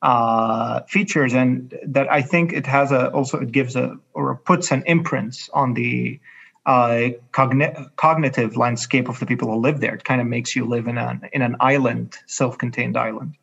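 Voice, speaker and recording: A man from Egypt with an Egyptian Arabic accent, an educator speaking as an interview guest in a halting way, searching for words. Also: medium pitch, steady tone, creaky, a bit bright, breathy edge. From Cairo, Egypt. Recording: dull, muffled lo-fi audio.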